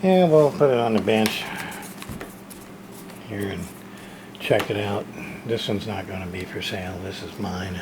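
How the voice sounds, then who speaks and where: A man's voice: lowish voice, a little slow, long pauses, noisy background. A man casually narrating a hands-on unboxing as a radio repair hobbyist, talking to himself in folksy short fragments.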